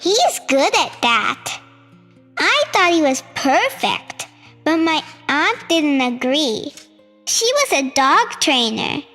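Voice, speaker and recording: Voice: high-pitched, sharp tone. A young child reading a children's storybook aloud as a storytime host. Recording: reverberant hall sound with music. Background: music.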